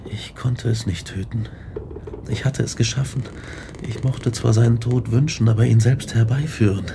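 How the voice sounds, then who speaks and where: Actor as a brooding, gravelly young scientist. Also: male voice, medium pitch, normal speed, background noise, close to an everyday voice. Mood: anguished, remorseful. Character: tormented, guilt-ridden young scientist recounting his downfall. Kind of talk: German radio drama acting, narration and dialogue.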